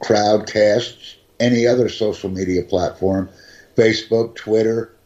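A man teaching English conversation, speaking slowly and deliberately and repeating his key points. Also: lowish voice, fairly even intonation, darkish tone, muffled recording. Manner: slow, carefully enunciated, repeats key phrases. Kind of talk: slow, deliberate teaching talk.

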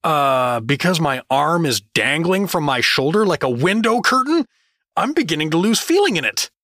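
Wisecracking, animated hapless hero. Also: male voice, a little high, moderate pace, dry recording, studio quality. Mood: sarcastic, grumbling. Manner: sarcastic, hesitant, joking. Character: wounded, wisecracking hapless everyman hero in fantasy. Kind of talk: comedic audio drama acting.

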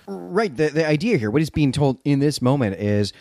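A man's voice: fairly high pitch, sing-song, moderate pace, vocal fry, a little mellow, no room sound, studio quality. A man co-hosting a podcast, recapping and commenting in a conversational, explanatory way with rhetorical check-ins. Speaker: podcast co-host.

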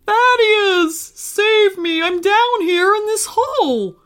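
A woman's voice: high-pitched, sing-song, measured pace, voice pushed up. A woman voicing a whiny, teasing, dramatic best friend in a children's story, complaining and exclaiming. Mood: pleading.

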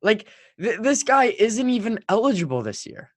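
A man's voice: high-pitched, sing-song, normal speed, no echo, clean studio sound. A French-speaking man co-hosting a casual sports podcast, talking in an emphatic, hyperbolic way. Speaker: hockey podcast co-host.

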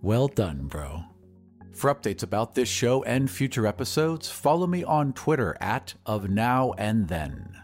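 A man's voice: mid-range voice, very animated, a little slow, a little mellow, faint music behind. A man reading scripted credits as the host and producer of a podcast.

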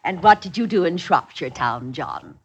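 An actress playing a bossy, doting village goodwife in old-time radio drama, with rustic, archaic phrasing. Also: female voice, on the low side, animated, moderate pace, husky, highs cut off. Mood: brisk, bossy.

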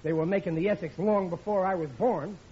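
A man's voice: sits high, fairly quick, dark tone, natural voice. A man playing a blustering, stubborn, dim working-class American husband and dad in a 1950s radio sitcom. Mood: proud, excited. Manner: blustering, emphatic. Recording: muffled, noisy old radio recording.

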